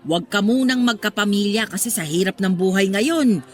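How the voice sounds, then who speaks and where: A woman's voice: medium pitch, a little fast, soft background music, close to an everyday voice. A woman playing a chatty, prying, well-meaning older neighbour with a Filipino accent in a radio drama. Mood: chatty, advising.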